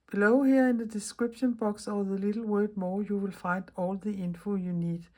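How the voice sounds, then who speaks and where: A woman's voice: medium pitch, darkish tone. A middle-aged woman from Denmark with a Danish accent, hosting a chatty craft tutorial in English as a second language and often restarting her words. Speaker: craft video host. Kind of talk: casual craft tutorial talk.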